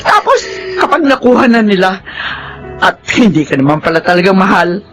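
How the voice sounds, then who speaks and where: A woman's voice: medium pitch, very animated, moderate pace, faint music behind, lightly acted. Woman acting an exasperated mother, wildly swooping voice. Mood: scolding, resigned. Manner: emphatic, questioning, reproachful. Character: exasperated, scolding middle-aged Filipino mother. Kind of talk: melodramatic drama acting.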